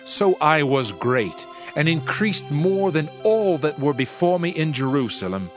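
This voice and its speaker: A man's voice: on the high side, sing-song. Solemn world-weary preacher king over music. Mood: reflective, rueful. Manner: solemn, measured, oratorical. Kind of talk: dramatized scripture reading. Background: background music.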